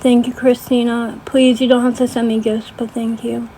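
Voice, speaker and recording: A woman's voice: a little high, flat delivery, normal speed, dark tone, a little hiss or hum, rough recording. A woman ranting casually on a livestream in a blunt, sweary way, often checking in with emphatic tag questions. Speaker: livestream host.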